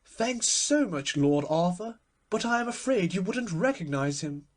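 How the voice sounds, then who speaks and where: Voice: medium pitch, expressive, a little slow, pitched above the performer's own voice, close to an everyday voice. A performer voicing a gushing, witty, imperious society hostess in a theatrical, emphatic manner for a storybook reading. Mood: gushing, lively.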